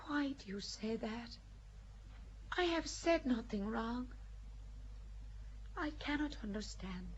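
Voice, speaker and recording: High-voiced bold young peasant maid. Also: female voice, animated, slow, long pauses, dull audio, slight background noise. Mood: bewildered, uneasy. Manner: plain-spoken, earnest, emotional. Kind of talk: audio drama acting of a stage play.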